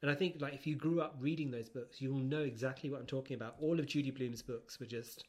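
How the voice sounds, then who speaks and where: A man's voice: mid-range voice, steady tone, quick pace. A man with a British accent chatting casually as a podcast co-host, talkative and emphatic, with a teasing streak. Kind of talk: casual book chat.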